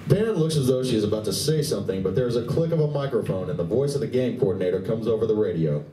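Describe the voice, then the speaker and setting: A man's voice: lowish voice, lively intonation, quick pace, warm tone. A man reading scripted narration for a live radio play in a convention room. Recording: distant, echoey convention room.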